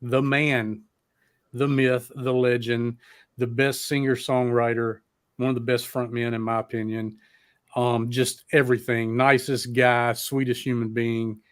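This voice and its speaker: A man's voice: mid-range voice, measured pace, smooth. A man hosting a live streamed talk show, chatting casually and swearing freely as he fumbles with the streaming setup. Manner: profane, rambling and excitable. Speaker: podcast host.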